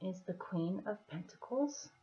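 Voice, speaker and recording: A woman with a slightly hoarse, scratchy throat, giving a casual tarot reading in an unhurried, deliberate manner with pauses as she explains the cards. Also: mid-range voice, lively intonation, dark tone. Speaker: tarot reader. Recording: dull, muffled lo-fi audio.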